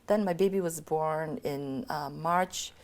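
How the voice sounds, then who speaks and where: A woman's voice: low voice, slow pace, gravelly. Chatty Bhutanese woman, slightly lost voice. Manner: open, chatty, frequent "you know". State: partly lost voice, sick. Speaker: guest. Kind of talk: candid personal interview.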